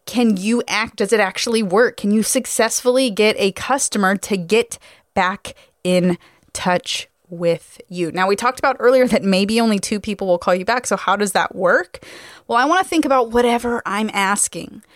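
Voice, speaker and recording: A woman's voice: mid-range voice, normal speed, no echo, studio quality. A woman hosting a coaching podcast, talking informally in a slangy, emphatic, conversational way. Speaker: podcast host and car sales coach.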